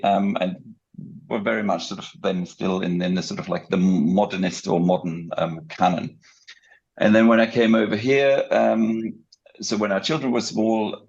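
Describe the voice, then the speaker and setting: A very low-voiced middle-aged man with a German accent and a gravelly creak. He talks as an academic guest in an interview, explaining in a halting, hesitant way. The audio is a little dull and muffled. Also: a little mellow. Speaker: guest, academic researcher and book editor. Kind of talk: conversational podcast interview account.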